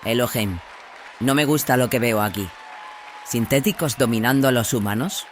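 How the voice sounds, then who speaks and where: A man's voice: medium pitch, moderate pace, some chatter behind, slight background noise, natural voice. A man voicing a blunt, suspicious warrior nun, second-in-command, speaking tersely in an audio drama. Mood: disapproving. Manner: terse, distrustful.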